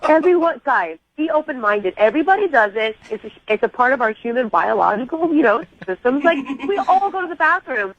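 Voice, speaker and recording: A woman's voice: high voice, very animated, fairly quick, few pauses, fairly bright tone. A woman talking conversationally on a phone call, blunt and unapologetic. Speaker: phone-in guest. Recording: dull noisy phone line.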